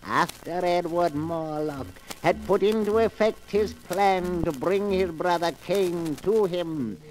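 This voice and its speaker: A man narrating a horror tale as host in 1940s radio style, ominous, theatrical and deliberate. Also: sits high, darkish tone, a little hiss or hum, low-quality recording. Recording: 1940s radio recording with music. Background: music.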